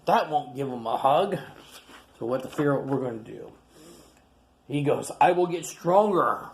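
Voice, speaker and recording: A woman reading a picture book aloud to children, animated, gasping and exclaiming at the pictures. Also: low voice, a little hiss or hum. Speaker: storyteller.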